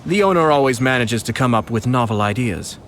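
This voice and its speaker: An actor playing a polite and playful young male noble commissioner in a game, speaking in a measured way. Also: medium pitch, animated, slight background noise. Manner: courteous, measured, with soft amused laughs. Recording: game audio with clear music behind.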